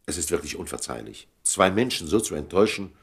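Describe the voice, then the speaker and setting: An actress playing a courteous, absent-minded boss in a German radio drama, with animated delivery. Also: female voice, very deep voice, brisk, slightly rough, heavily acted. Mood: apologetic.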